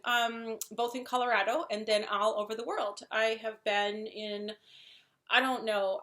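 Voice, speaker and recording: A woman's voice: a little high, fairly bright tone. Effusive woman with a Wisconsin accent. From Wisconsin, USA, and central Mexico. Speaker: vlogger introducing herself. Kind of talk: casual chat to camera.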